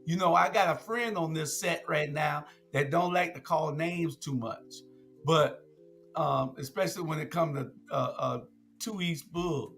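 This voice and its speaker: A man's voice: a little high, measured pace, gravelly, a bit bright, faint music behind. A man co-hosting a casual sports chat, talking bluntly and emphatically. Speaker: co-host.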